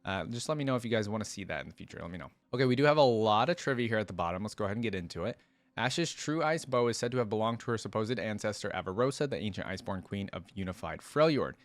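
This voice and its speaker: A man's voice: on the low side, lively intonation, rapid-fire, dry recording. Casual, curious man reacting, in a dry-sounding space. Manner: informal, curious, frequent "like" and "kinda". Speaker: reaction video host. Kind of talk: casual reaction commentary, reading aloud and commenting.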